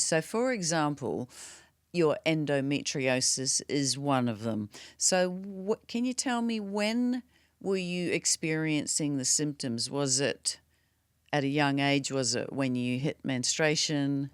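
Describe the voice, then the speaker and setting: A woman with a very low, smooth, resonant voice hosts a podcast interview. She welcomes her guest warmly and asks follow-up questions, and she sometimes restarts mid-sentence. The sound is dry and close, with no room echo. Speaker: podcast host.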